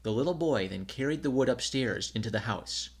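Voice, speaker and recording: A man's voice: mid-range voice, animated, fairly quick, husky. A man narrating a scripted children's story as a storybook reader.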